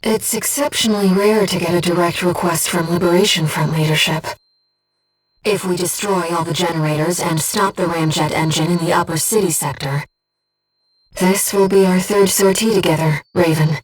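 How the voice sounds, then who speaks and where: A woman playing a calm, devoted disembodied companion who guides a pilot in a video game, speaking in a measured, earnest, gently formal way. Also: on the low side, husky, far from the mic, low-quality recording. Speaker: mission support companion. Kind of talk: scripted video game voice acting.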